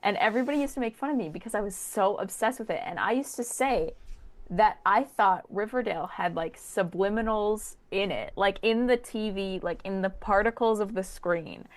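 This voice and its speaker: A woman's voice: mid-range voice, normal speed. A young woman in her late teens co-hosting a podcast, casually sharing personal anecdotes. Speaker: podcast co-host.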